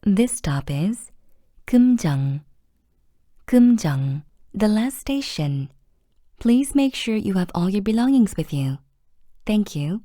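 Woman, deliberate subway announcement, very slow, dry close sound, formal. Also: medium pitch, big pitch swings, mellow, no echo. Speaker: English train announcer.

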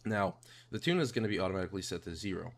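Guy with a deep voice explaining software settings, kinda rambly, some noise behind him. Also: lively intonation, very fast. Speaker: tutorial presenter. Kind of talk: casual software tutorial narration. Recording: home mic with clear background noise.

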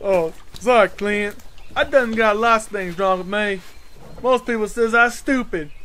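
An actor playing a dim-witted, cheerful, childlike redneck fishing buddy with a Southern US accent in a comedic audio drama. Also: male voice, high-pitched, sharp tone, a little hiss or hum. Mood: naive. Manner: slow-witted, naive, folksy.

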